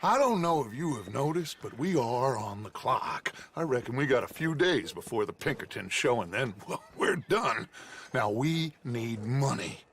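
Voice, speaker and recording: A man's voice: medium pitch, expressive, moderate pace, fairly bright tone, a little hiss or hum. A man voicing a grandiose, commanding middle-aged outlaw gang leader who talks in a theatrical, persuasive way. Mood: urgent, persuasive. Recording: game cutscene with music score. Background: orchestral game music.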